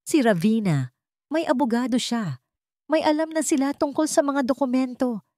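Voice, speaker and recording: A woman's voice: high-pitched, animated, normal speed, a little mellow, no room sound, studio quality, voice pushed up. A woman voicing an angry, scheming, greedy man who commands and threatens in a dramatic audio story. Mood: furious.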